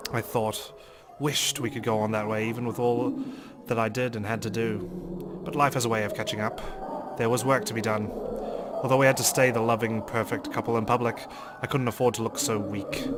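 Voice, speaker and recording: A man hosting a let's play, reading a visual novel aloud in a measured, theatrical way. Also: mid-range voice, very noisy. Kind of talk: dramatic read-aloud narration of a visual novel with commentary.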